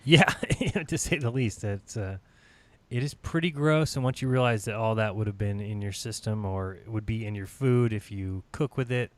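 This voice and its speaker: A man's voice: fairly low pitch, moderate pace, dry recording. A man hosting a live online panel chat, upbeat and chatty, laughing often, and feeling like he is losing his voice. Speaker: host.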